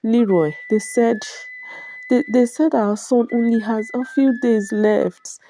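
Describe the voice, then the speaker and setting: A woman's voice: a little high, takes it slowly, dark tone, slight background noise, pitched above the performer's own voice. A woman storyteller voicing a desperate, tearful mother who pleads emotionally for her son. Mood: broken, grieving.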